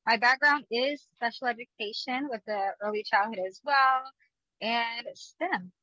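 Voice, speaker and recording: A woman's voice: a little high, measured pace, bright, forceful tone, no room sound, muffled recording. A woman from DC, a guest on a show, answering upbeat and laughing between answers. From Washington DC. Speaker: guest, business owner. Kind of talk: casual interview talk.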